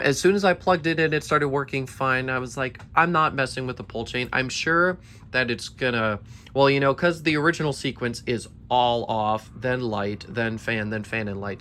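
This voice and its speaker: A man's voice: medium pitch, fairly bright tone. Young man, tired voice, casual rambling vlog, phone camera in a basement, faint background hum. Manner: rambling, eager, with many restarts. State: tired, slightly worn voice. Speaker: ceiling fan collector. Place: basement collection room.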